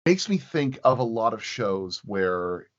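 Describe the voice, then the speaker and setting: A man chatting casually as an interview guest, halting and often circling back to rephrase his thoughts. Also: on the low side, very animated, muffled recording. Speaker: podcast guest, sound designer.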